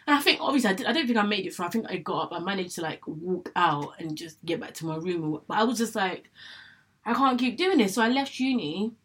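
A woman's voice: mid-range voice, expressive, quick pace, a bit bright, breathy edge. A young woman from England talking candidly to camera about her own life, chatty, often repeating herself and acting out her past thoughts. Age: young adult. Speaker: vlogger sharing her illness story. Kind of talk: candid personal monologue.

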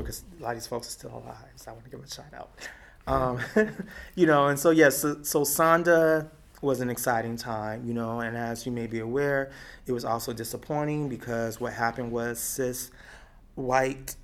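A middle-aged woman from Texas with a deep, raspy voice, talking and laughing her way through memories in an oral history interview. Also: moderate pace. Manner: lively, talkative, laughs often. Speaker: activist interviewee.

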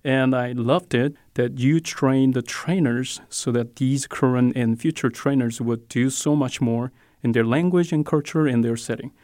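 A man's voice: mid-range voice, a little slow, few pauses, darkish tone, no room sound, studio quality. A man in his forties from South Korea speaking English with a Korean accent, giving earnest, polite interview answers with hesitant fillers. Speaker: ministry director, guest.

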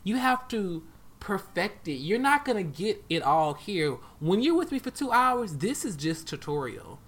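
A man's voice: sits high, very animated, a little slow, fairly bright tone. A man co-hosting a podcast, a dance coach, chatting casually and hammering his point home with repetition. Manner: emphatic, preachy, repeats for stress. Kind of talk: casual chat.

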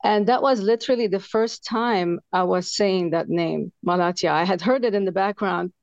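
A woman in her forties, from Lebanon, telling her personal story in an interview, earnest and halting, with many fillers. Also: mid-range voice, gravelly, a little mellow, dry recording, dull audio. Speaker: author guest.

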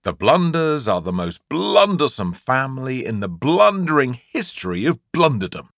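A man's voice: medium pitch, sing-song, moderate pace, gravelly, fairly bright tone, no echo, clean studio sound. A man with an English accent narrating a comic children's story in a theatrical, emphatic way, drawing out key words. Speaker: audiobook narrator.

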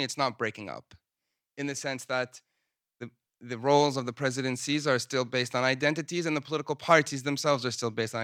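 Man, academic panel talk, bright forceful tone, dry close sound, measured with many ums. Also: medium pitch, no echo. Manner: measured, hesitant with frequent ums. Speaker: panel speaker presenting research. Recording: poor sound quality.

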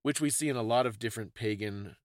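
A man's voice: on the low side, fast, no room sound, clean studio sound. A man hosting a conversational talk, explaining things in an informative, scholarly way. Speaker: podcast host explaining folklore.

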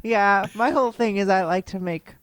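A woman's voice: mid-range voice, moderate pace, no room sound, rough recording. A woman chatting and teasing as a podcast co-host, laughing often. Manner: laughing, teasing, dry asides. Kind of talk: casual chat.

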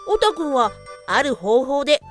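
Cute, high-pitched talking dog. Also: female voice, big pitch swings, normal speed, music in the background. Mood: chirpy, helpful. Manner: cutesy, dog-like sentence endings. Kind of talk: cartoonish comic audio drama.

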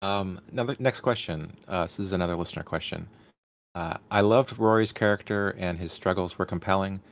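Male moderator, deep register, informal and slightly halting, fielding and reading questions. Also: gravelly.

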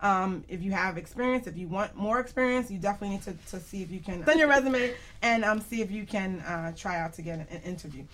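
A woman's voice: medium pitch, fast talker, few pauses, fairly bright tone. A woman hosting a casual radio show in a chatty, giggly way, often laughing as she talks.

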